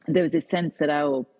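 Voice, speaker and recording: Breathy British woman, hesitant. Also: deep voice, darkish tone, big-room sound. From Britain. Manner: hesitant, many fillers and restarts. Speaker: author and guest. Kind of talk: conversational interview answers.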